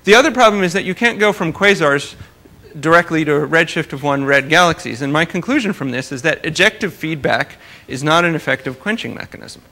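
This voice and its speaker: A man's voice: fairly high pitch, fairly quick, pushed and bright. A man giving an informal research lecture, explaining technical material with careful hedges and wry, dry asides. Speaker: astronomer giving a research talk.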